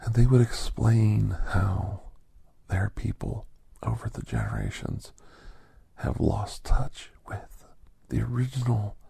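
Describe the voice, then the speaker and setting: A man voicing a kindly, reflective older doctor in soft, half-whispered ASMR storytelling, with breathy, halting short phrases. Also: fairly low pitch, no room sound, exaggerated voice. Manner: unhurried, pausing between short phrases. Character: older doctor recounting his gentle alien encounters, reflective, kindly.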